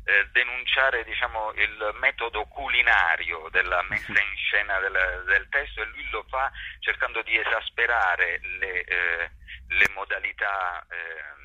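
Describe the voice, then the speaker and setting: A man giving explanatory interview answers in a halting, thoughtful way, searching for his phrasing as he goes. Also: lowish voice, bright, forceful tone, muffled recording. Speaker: actor and workshop teacher being interviewed.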